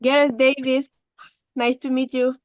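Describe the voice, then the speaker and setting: Higher-voiced woman moderating an online forum. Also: level tone, unhurried, no echo, studio quality. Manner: friendly, introduces speakers.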